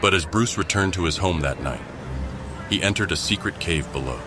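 A man narrates a scripted audio drama in a deep voice. His delivery is dramatic storytelling. Music plays clearly behind him. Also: lively intonation, fairly quick.

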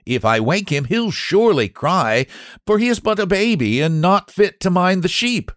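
A man's voice: sits high, sing-song, normal speed, a bit bright, dry recording, clean studio sound, voice pushed up. A man playing an eager, scheming young farm lad in a storybook tale, cheeky yet deferential. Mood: scornful, eager.

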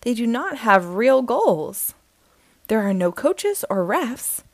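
A woman hosting a storytime, reading a children's picture book aloud slowly and expressively. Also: a little high, no echo, studio quality. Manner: slow, expressive, sing-song reading.

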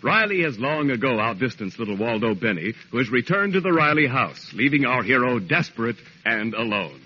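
A man's voice: medium pitch, big pitch swings, brisk, breathy edge. An American man announcing on 1940s radio, reading scripted copy with a polished, emphatic delivery. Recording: noisy muffled vintage radio recording.

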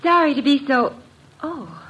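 An actress playing an anxious, hesitant young fiancée with a husky voice, in classic radio drama style. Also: female voice, sits high, big pitch swings, unhurried, dull audio, heavily acted. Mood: flustered. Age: young adult. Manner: hesitant, soft-spoken.

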